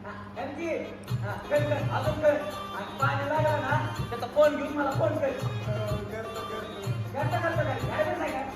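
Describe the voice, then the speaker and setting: A man's voice: very high-pitched, moderate pace, pauses often. A man with a rural Maharashtrian accent acting a bossy, foul-mouthed labour gang foreman in an amateur stage play, barking orders and sarcastic threats. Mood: angry, commanding. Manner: barks orders and taunts. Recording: echoey hall, distant mic, audience and music.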